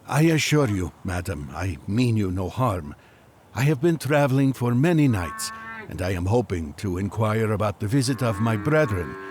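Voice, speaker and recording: An actor playing an earnest, courteous ancient magus on a quest, speaking formally in an audio drama. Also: male voice, lowish voice, big pitch swings, normal speed, darkish tone, a little hiss or hum.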